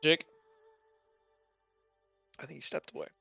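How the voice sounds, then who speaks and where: A man casually running a tabletop roleplaying session as game master, talking in a halting, informal way. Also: bright, forceful tone, no room sound, dull audio, soft background music.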